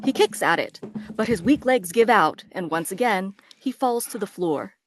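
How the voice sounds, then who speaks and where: A woman's voice: mid-range voice, animated, moderate pace. A woman reading stage directions as scripted narration for a drama.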